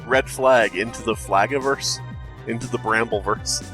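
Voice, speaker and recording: A man's voice: mid-range voice, moderate pace, over music. A man co-hosting a podcast, chatting casually in a rambling, crude way and laughing often. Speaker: podcast co-host. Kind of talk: casual banter.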